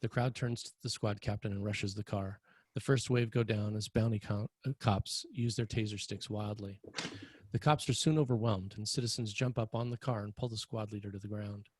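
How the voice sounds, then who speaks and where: Male table-read narrator: deeper, husky, dry sound, scripted delivery of stage directions. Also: steady tone, moderate pace, darkish tone, no room sound.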